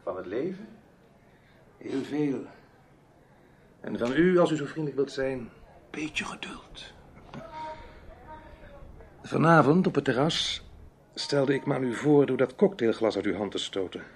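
Sly menacing radio drama gentleman, super swoopy pitch, gravelly, polite but creepy. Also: expressive, slight background noise. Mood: teasing, ominous. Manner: theatrical, drawn-out, teasing, courteous menace. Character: sly, menacing foreign gentleman lurking in the dark. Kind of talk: Dutch radio drama acting.

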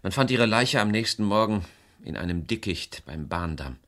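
A low, deep male voice in a radio crime play. He is a beleaguered small-town property dealer and estranged husband, confiding his troubles in halting, sighing phrases. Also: expressive, fairly bright tone. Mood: sober, matter-of-fact. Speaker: radio drama actor.

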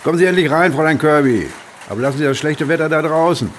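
An actor playing a jovial, teasing village shopkeeper, chatty and familiar, in naturalistic German radio drama dialogue. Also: male voice, on the high side, fast talker, slight background noise, close to an everyday voice.

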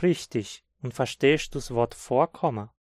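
A man from southern Brazil with a Hunsrik German accent, reading a scripted textbook dialogue in a slow, clear teaching manner. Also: medium pitch, darkish tone, dry recording, clean studio sound. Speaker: language course reader.

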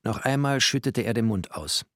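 A man's voice: mid-range voice, lively intonation, normal speed, fairly bright tone, no room sound, clean studio sound. A man narrating expressively in the first person, literary in style, with a wry, theatrical manner.

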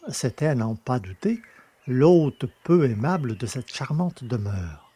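A man's voice: medium pitch, sing-song, a little slow, mellow, husky, no echo. A man reading literary fiction as a scripted audiobook narrator.

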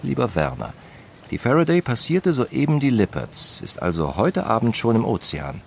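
An actor reading the letters of a nineteenth-century industrialist brother in a firm, emphatic way. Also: male voice, medium pitch, big pitch swings, moderate pace, creaky, slight background noise. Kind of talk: reading historical letters in a radio feature.

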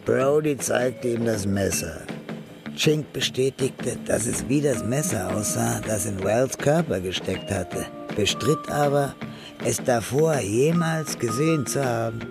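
A man narrating a scripted radio crime drama in a measured, matter-of-fact way. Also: medium pitch, creaky, music in the background.

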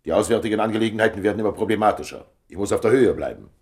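A man's voice: lowish voice, racing, darkish tone, natural voice. An actor playing a weary, dryly witty statesman in his fifties in a German radio drama, speaking with emphatic authority.

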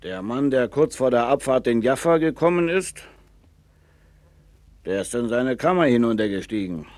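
An actor playing a proud, knowing seafarer in a 1960s German radio play, with a musing storyteller's manner. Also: male voice, mid-range voice, lively intonation, darkish tone, dry recording, lightly acted. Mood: musing, confiding. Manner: slow, musing, storytelling, with swings from boastful to confiding.

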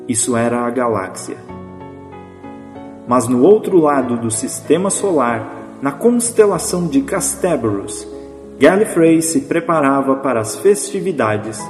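A man's voice: mid-range voice, animated, unhurried, soft background music, natural voice. A man performing solemn, melancholy scripted narration for an audio drama. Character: solemn, melancholy male storyteller. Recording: echoey, distant, reverberant hall sound.

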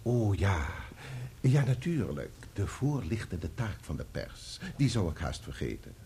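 A man's voice: deep voice, sing-song, a little slow, dark tone, slight background noise, heavily acted. A man playing a courteous, sardonic defence barrister in a radio courtroom drama, speaking formally with an ironic edge. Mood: ironic probing. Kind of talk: radio play courtroom acting.

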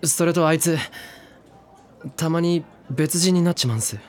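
A man's voice: a little high, moderate pace, a little mellow, slight background noise, natural voice. An actor in a drama CD playing a reckless, thrill-seeking young man who talks in slangy, clipped casual speech. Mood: uneasy. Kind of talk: drama CD acting. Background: background music.

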